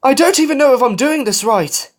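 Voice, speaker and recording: A storyteller voicing a timid young male falcon who is excitable and earnest, in a story reading. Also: medium pitch, slow, breathy edge, pitched above the performer's own voice, exaggerated voice. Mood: panicked.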